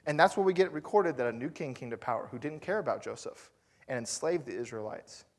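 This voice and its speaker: A man's voice: medium pitch, animated, quick pace. A man preaching a sermon as pastor, telling the story in a loose, conversational way with fillers and asides to the congregation.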